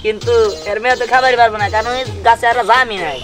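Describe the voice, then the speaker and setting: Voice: mid-range voice, steady tone, racing, lightly acted. An actor playing a bossy, scheming young village fruit thief in a comedy skit, giving clipped orders. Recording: outdoor crowd noise, music behind.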